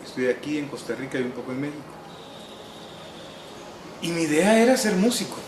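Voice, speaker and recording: A man's voice: a little high, animated, noisy background. Salvadoran man recalling in an echoey room. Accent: Salvadoran Spanish. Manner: unhurried, wry, frequent "eh" pauses. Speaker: novelist interviewee. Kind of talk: relaxed reminiscing interview answers.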